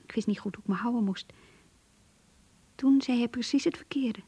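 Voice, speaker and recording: A close, dry voice, mellow and breathy: a thoughtful young woman. She narrates intimately and reflectively, as if writing in a diary. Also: a little high, moderate pace, no room sound. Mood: confiding, tender. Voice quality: soft, breathy. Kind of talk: Dutch radio play monologue.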